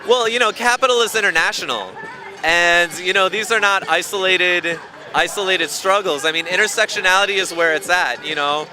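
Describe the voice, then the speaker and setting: A man's voice: high-pitched, normal speed, sharp tone. A hungry man speaking informally and ramblingly, with many fillers, in an outdoor street interview. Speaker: activist.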